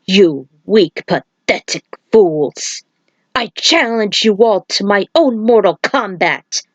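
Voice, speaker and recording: A woman's voice: mid-range voice, expressive, slow, no room sound, lo-fi sound. A woman voicing an evil male emperor, contemptuous and haughty, drawing out taunts in a story reading.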